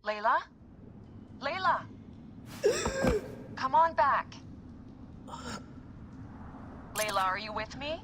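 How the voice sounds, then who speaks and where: A woman playing a bossy but caring medical officer in a video game cutscene, brisk and sarcastic in a naturalistic way. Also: on the high side, expressive, noisy background. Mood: alarmed.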